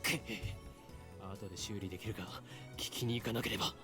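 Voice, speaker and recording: An actor playing a grumbling, dramatic young self-styled mad scientist in an anime game, curt and muttering, over music. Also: male voice, on the low side, fairly even intonation, rapid-fire, natural voice. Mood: irritated muttering. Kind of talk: Japanese anime game voice acting. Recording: game audio under music.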